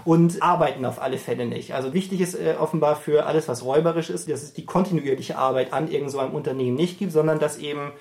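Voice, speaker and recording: Fairly high-voiced man explaining analytically. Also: fast, creaky, hardly any pauses, a little mellow. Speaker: interviewed commentator.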